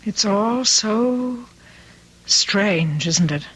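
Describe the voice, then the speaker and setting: A woman's voice: medium pitch, animated, extremely slow, slightly rough, heavily acted, poor audio. An actress playing an anxious, doting middle-aged mother in an old-time radio drama, speaking in an earnest, fretful way. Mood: gentle, probing.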